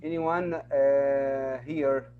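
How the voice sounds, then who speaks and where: A man's voice: medium pitch, level tone, extremely slow, smooth, phone-quality sound. A man teaching an online class, patiently repeating each word and example for his students.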